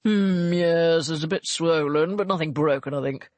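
A woman voicing a smooth-talking, flattering Regency gentleman, one of the figures in a read romance story. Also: deep voice, normal speed, no echo, natural voice. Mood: suave. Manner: suave, fulsome compliments.

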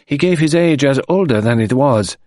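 A man's voice: medium pitch, expressive, normal speed, darkish tone, dry recording, studio quality, voice pushed up, close to an everyday voice. A man voicing a dignified, grieving middle-aged French widow who speaks in a composed, formal, restrained way. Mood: earnest.

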